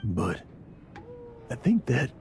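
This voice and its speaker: Choked up grateful game character, slow n gravelly, halting, earnest. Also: male voice, mid-range voice, huge pitch swings, darkish tone, faint music behind, heavily acted. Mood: overwhelmed, grateful. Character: emotional, humbly grateful man recovering himself. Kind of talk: video game character acting.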